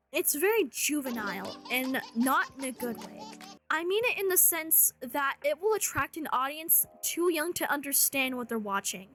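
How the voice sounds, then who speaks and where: A woman's voice: high-pitched, bright, forceful tone. A woman delivering a scripted commentary rant in a sarcastic, joking manner, as an online video host. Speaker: commentary YouTuber.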